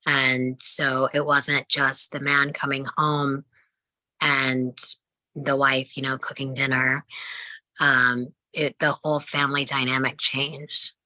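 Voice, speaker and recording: Woman, slow and measured, flat intonation, explanatory, casual discussion. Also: very deep voice, no echo, poor audio.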